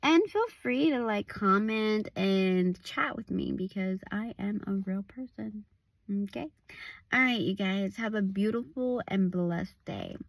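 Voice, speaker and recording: A woman evangelist with a raspy voice, giving a casual devotional talk outdoors, full of "like"s and restarts. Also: mid-range voice, unhurried, no room sound, low-quality recording. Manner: loose and conversational, many "like"s and restarts. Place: outdoors at a park overlook.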